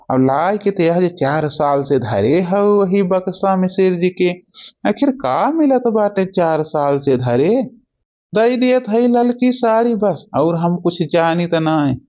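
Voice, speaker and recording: A man's voice: high voice, big pitch swings, slow pace, heavily acted. A man voicing a stubborn, put-upon village husband in a comic cartoon, pleading with an Awadhi accent on a dull recording. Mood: exasperated. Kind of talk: homemade comic cartoon dialogue. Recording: dull, lo-fi sound.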